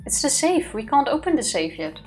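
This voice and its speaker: A woman's voice: high-pitched, big pitch swings, fairly quick, over music. A woman co-hosting a let's-play, chatting casually through puzzles with playful banter. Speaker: co-host playing a video game.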